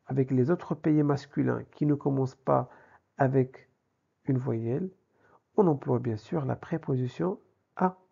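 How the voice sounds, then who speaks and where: A man's voice: medium pitch, unhurried, vocal fry, warm tone, breathy edge. A man teaching a language lesson in a didactic way, posing questions and answering them himself, in a slightly dull recording. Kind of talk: grammar lesson with examples.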